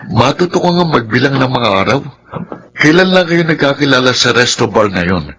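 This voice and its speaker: An actor playing an exasperated, admonishing business partner in a Filipino radio drama, with a Filipino accent. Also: male voice, mid-range voice, expressive, fairly quick, a bit bright, a little hiss or hum, close to an everyday voice. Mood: suspicious. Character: nagging, suspicious male business partner, worried family man.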